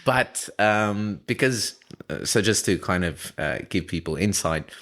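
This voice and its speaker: A man's voice: fairly low pitch, animated, unhurried, fairly bright tone. A man from South Africa whose first language is Afrikaans, co-hosting a podcast in casual, rambling chat and laughing often. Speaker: podcast co-host.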